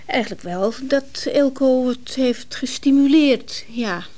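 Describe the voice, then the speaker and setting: A woman's voice: high voice, slow, darkish tone, a little hiss or hum. An actress playing an affectionate, evasive married woman in a radio drama. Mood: hesitant, confused. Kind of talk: intimate radio drama acting.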